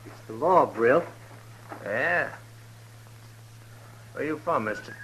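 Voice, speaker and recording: A man's voice: mid-range voice, expressive, slow, fairly bright tone. A man with an American accent playing a menacing, curt outlaw gunman in an old radio western. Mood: suspicious, cold. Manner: curt, commanding. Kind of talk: 1950s radio western acting. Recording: slightly noisy vintage radio recording.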